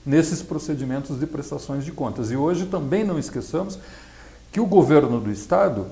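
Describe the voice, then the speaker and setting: A Brazilian man giving explanatory interview answers as a specialist, in a measured, didactic way. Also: a little high, lively intonation, gravelly, mellow. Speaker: accountant and project specialist being interviewed. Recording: echoey, roomy sound.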